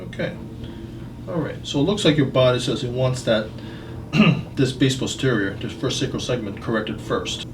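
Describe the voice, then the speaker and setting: A man's voice: medium pitch, moderate pace. A man working as a chiropractor, talking a patient through an exam with short questions and explanations. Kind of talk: clinical consultation and hands-on examination talk. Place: indoor chiropractic clinic. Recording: roomy, noisy clinic, distant mic.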